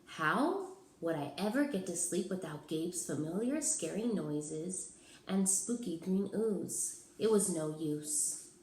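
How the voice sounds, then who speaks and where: A woman giving an expressive narration of a children's storybook, as a teacher reading aloud. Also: fairly low pitch, slow pace, a little hiss or hum. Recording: roomy, echoing sound.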